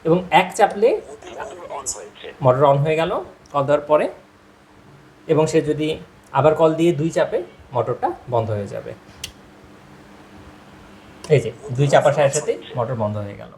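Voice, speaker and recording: A man's voice: fairly high pitch, animated, moderate pace, pauses often, a little mellow, poor audio. A man narrating a product demonstration, explaining step by step and addressing viewers directly. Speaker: product presenter. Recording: clear background noise, ambient sound.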